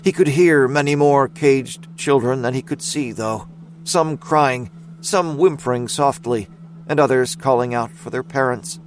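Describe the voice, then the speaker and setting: A man narrating scripted dramatic fiction in a measured storytelling manner. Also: medium pitch, slight background noise.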